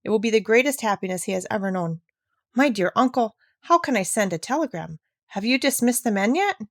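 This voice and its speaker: A woman's voice: on the high side, expressive, brisk, no room sound, studio quality. A woman voicing an affectionate, well-bred elderly grandmother in a storybook, speaking in emphatic exclamations. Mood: eager.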